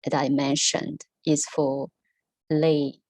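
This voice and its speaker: A woman whose first language is Mandarin gives a halting talk to an online Buddhist study group, full of pauses and fillers. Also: deep voice, flat delivery, darkish tone, no room sound. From Taiwan. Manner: halting, many ums and restarts. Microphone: video call.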